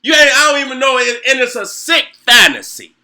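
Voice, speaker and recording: A man's voice: very high-pitched, very animated, a little fast, sharp tone. A middle-aged man hosting his own show, delivering a heated, profane monologue full of rhetorical questions and repeated "you know what I'm saying.". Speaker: host.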